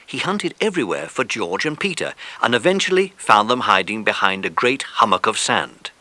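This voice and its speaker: A man's voice: on the low side, big pitch swings, moderate pace, bright, forceful tone, no echo. A man reading scripted narration for a children's adventure audiobook.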